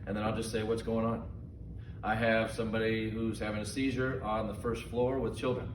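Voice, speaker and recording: A man giving informal safety training instructions in a deliberate, simple, step-by-step manner. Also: fairly low pitch, level tone. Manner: slow, deliberate, simple phrasing. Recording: echoey, distant mic, noisy room.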